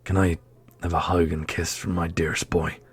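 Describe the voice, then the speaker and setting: A man playing a devoted fantasy prince, gentle, hesitant and thoughtful, in an ASMR roleplay. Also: low voice, flat delivery, normal speed, breathy edge. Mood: tender, pensive. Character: soft-spoken, devoted dark prince, a fantasy lover.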